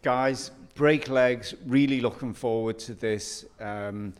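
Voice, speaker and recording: A man's voice: mid-range voice, slow pace, smooth. A man hosting a theatre podcast, chatting casually and encouraging guests, with hesitant fillers. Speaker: podcast host. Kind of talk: casual chat.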